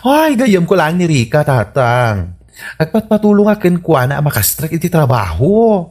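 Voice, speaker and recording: A woman's voice: deep voice, very animated, normal speed, darkish tone. A woman with an Ilocano Filipino accent voicing a grown son who pleads with his father in a radio comedy drama. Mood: pleading.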